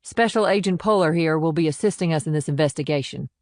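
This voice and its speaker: A tough female police sergeant in a crime audiobook, acted out by a male narrator. The pitch sits raised and the delivery is firm and commanding, in a very dry recording with no room sound. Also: fast talker, dry recording, close to an everyday voice.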